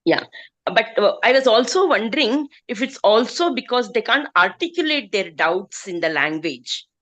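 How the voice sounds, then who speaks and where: A woman's voice: fairly high pitch, big pitch swings, normal speed, fairly bright tone. A woman taking part in a discussion with a lecturer, thoughtful and affirming.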